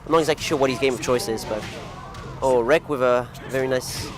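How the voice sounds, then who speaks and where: A man's voice: mid-range voice, a little fast. A man casually commentating a fighting game tournament, chatty and rambling, who laughs at his own clumsy phrasing; English is not his first language. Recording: music playing loudly behind.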